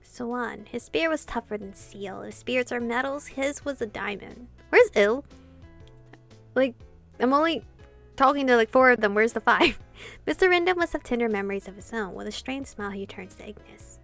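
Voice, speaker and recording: A woman streamer reading game dialogue aloud and reacting with casual, expressive commentary. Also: on the high side, normal speed, gravelly. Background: game music.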